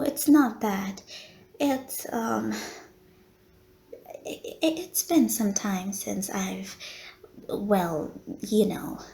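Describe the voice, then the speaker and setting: Unhurried, courteous lady vampire nurse, acted by a woman. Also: mid-range voice, vocal fry, warm tone. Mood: flustered, hesitant. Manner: genteel, formal wording with coy hesitations. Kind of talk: roleplay audio acting, addressed to the listener.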